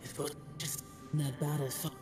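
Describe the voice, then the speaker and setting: An actor playing a weary, brooding immortal demon slayer in a video game, speaking in a terse, solemn way over game music. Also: male voice, on the high side, little pitch movement, moderate pace, lightly acted. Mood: troubled. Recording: loud music bed underneath.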